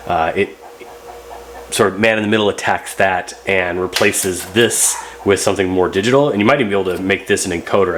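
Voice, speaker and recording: Low-voiced man over clear background hum. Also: fairly bright tone. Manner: thinking aloud, loosely rambling. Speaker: host of a radio repair channel. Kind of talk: casual hands-on repair narration.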